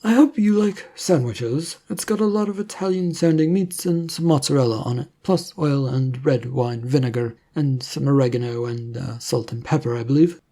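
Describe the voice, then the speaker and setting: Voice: medium pitch, moderate pace, few pauses, dark tone, natural voice. A narrator voicing a businesslike male government agent who explains things formally in a story. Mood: friendly.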